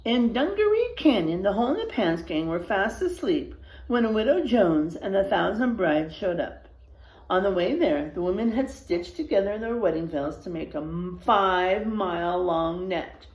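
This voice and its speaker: A woman reading a children's story aloud expressively, pausing for asides to her young listeners. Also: mid-range voice, animated, darkish tone, echoey room, poor audio.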